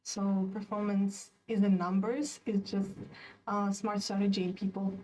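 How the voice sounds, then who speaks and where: Mellow young woman from Kazakhstan, distant. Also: mid-range voice, level tone. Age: young adult. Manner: thoughtful, with drawn-out hums. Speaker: student panelist. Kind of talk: casual webinar discussion. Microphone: video call.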